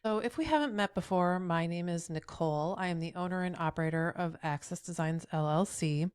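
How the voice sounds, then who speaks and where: Low-voiced middle-aged woman with a cold. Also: brisk, a little mellow, clean tone, dry recording, studio quality. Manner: encouraging, speaks straight to the viewer with frequent "right?". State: head cold, a bit nasal. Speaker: accessibility trainer. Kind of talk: tutorial video.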